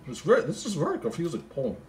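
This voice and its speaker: Man playing a goofy, baffled reader with swooping pitch. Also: huge pitch swings, rapid-fire. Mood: bewildered. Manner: bewildered, slangy, mock-indignant muttering. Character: Floyd, goofy, baffled, easily sidetracked male storybook reader. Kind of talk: comic poem reading with rambling asides.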